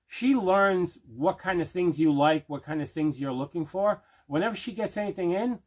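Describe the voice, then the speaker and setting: A man's voice: sits high, moderate pace. Man chatting casually through an unboxing. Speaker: host of an unboxing video show.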